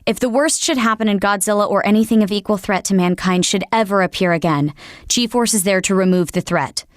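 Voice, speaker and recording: A woman voicing a dutiful military officer in a video game, speaking in a formal, measured, explanatory way. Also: mid-range voice, no echo, clean studio sound, close to an everyday voice. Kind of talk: scripted video game dialogue.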